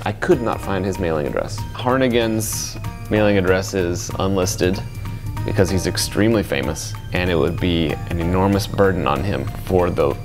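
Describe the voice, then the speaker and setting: A young man co-hosting a comedy vlog, reading fan letters aloud with a playful deadpan. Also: sits low, normal speed, over music. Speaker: co-host, "international correspondent".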